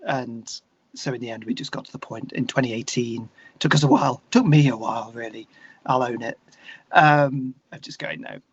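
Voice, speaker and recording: A man with an English accent talking candidly and reflectively as an interview guest, searching for his words in a halting way. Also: mid-range voice, husky, highs cut off.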